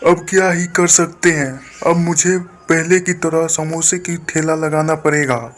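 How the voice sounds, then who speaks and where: A man's voice: on the high side, fairly even intonation, a little slow, soft background music, lightly acted. A man voicing a poor, humble village samosa seller, meek and plaintive, in a cartoon moral story. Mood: dejected.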